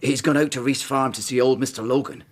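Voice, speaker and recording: Guy doing a humble servant type, husky, medium pitch, very respectful n bit hesitant, radio play. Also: fairly even intonation, quick pace, natural voice. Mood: deferential, urgent. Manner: deferential, hesitant. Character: deferential male lodge keeper with urgent errand. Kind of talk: radio drama acting.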